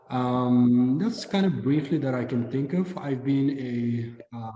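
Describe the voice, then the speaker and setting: A man in his early thirties from Bulgaria, answering interview questions earnestly and at a measured pace, with frequent ums. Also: medium pitch, warm tone. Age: 30s. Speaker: guest, dance instructor. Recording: roomy echo with a long reverb.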